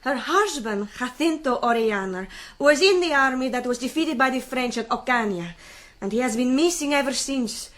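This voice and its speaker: An actress in a radio drama playing a sweet, deferential young Spanish officer's wife with a polite, lively way of talking. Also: female voice, high voice, moderate pace. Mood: earnest, sad. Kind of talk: BBC radio drama acting.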